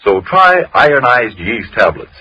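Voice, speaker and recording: A man's voice: medium pitch, sing-song, a little slow, bright, forceful tone, breathy edge, lo-fi sound. A man working as a radio announcer, giving scripted 1930s announcing and narration on an old radio recording.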